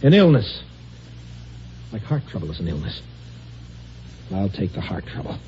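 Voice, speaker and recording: A man playing a nervous man terrified of the dark, stammering and halting, in a muffled old radio drama. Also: fairly low pitch, sing-song, slight background noise. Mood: weary. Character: frightened man, terrified of darkness. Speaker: office worker haunted by fear. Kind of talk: 1950s radio drama acting.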